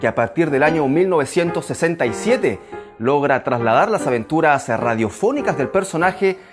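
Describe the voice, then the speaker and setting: A man's voice: fairly high pitch, expressive, normal speed, music in the background. A man in his thirties with a Chilean accent, hosting and narrating a scripted documentary.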